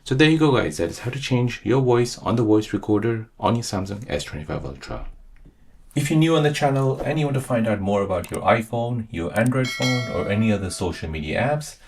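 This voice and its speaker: A man's voice: fairly low pitch, expressive, a little fast, creaky, a little hiss or hum. A man hosting a tutorial, narrating scripted how-to instructions step by step. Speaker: tutorial host.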